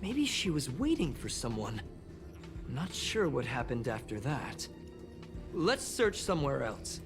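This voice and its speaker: A woman voicing an excitable, anxious young space station researcher in a game, expressive and full of sighs and exclamations. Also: very deep voice, measured pace, music in the background, heavily acted. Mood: curious, exasperated. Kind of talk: game dialogue acting.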